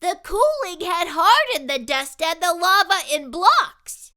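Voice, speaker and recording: A woman voicing an excitable young boy who spins a tall tale in dramatic, sing-song rhyme for a storybook read-aloud. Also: very high voice, takes it slowly, voice pushed up, full character voice. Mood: excited, insistent.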